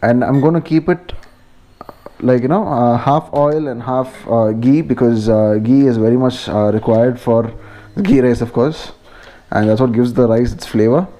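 Mellow-voiced Indian man narrating home cooking. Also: medium pitch, normal speed. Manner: informal step-by-step explaining. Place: home kitchen, indoors.

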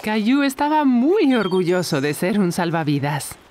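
Una mujer que narra una historia infantil con guion, como cuentacuentos. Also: female voice, medium pitch, big pitch swings, moderate pace, darkish tone. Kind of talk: scripted children's storytelling narration.

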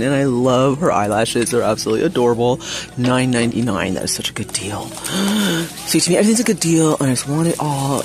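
A woman vlogging as she shops in a big home goods store, chatting casually to viewers with frequent gasps and excited exclamations. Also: very deep voice. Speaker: shopping vlogger. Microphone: phone camera held while shopping.

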